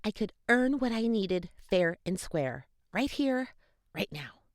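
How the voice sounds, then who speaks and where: A woman's voice: mid-range voice, big pitch swings, slow pace, no echo, clean studio sound. A woman voicing a proud, fiery, wounded teenage girl in a young adult fantasy audiobook. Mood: defiant. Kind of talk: audiobook character dialogue.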